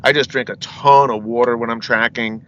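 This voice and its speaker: A man's voice: medium pitch, steady tone, normal speed, fairly bright tone, lo-fi sound, slight background noise. A middle-aged man, a metal singer, telling rambling stories as an interview guest, often asking "you know what I mean" and laughing between anecdotes. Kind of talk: casual storytelling interview.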